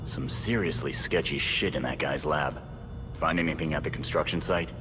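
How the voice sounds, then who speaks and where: An actor playing a hot-headed young male vigilante in a video game, gruff, sarcastic and impatient. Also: very deep voice, moderate pace, noisy background. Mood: frustrated. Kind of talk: dramatic video game acting.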